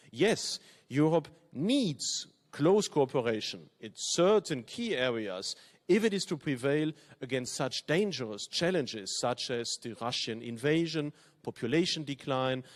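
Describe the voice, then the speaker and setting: A man from Belgium whose first languages are German and French, giving a measured, formal opening address as panel chair. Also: a little high. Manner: measured, formal, reads from a text. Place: conference hall.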